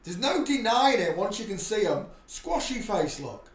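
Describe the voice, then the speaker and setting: A man talks in a rambling, preachy monologue, with his voice sitting a little higher than usual for a man. He restarts his sentences often and sometimes breaks into louder bursts. The echo of the room surrounds everything he says. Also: very animated, moderate pace. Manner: preachy, rambling, with frequent restarts and loud outbursts. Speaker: livestream host. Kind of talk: rambling live-stream monologue.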